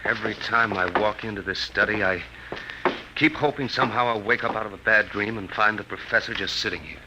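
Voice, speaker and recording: A man's voice: lowish voice, a little fast, lightly acted. A man playing an earnest, worried American aide in an old radio thriller, talking in a colloquial way. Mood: worried, subdued. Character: anxious, earnest younger aide to a major. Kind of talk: 1950s radio drama acting. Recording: very noisy old radio recording.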